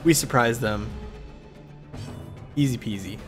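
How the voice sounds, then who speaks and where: A man's voice: mid-range voice, takes it slowly, soft background music. A man with a cold and a congested voice, hosting casual let's play commentary and thinking aloud as he plays. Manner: relaxed, thinking aloud with fillers.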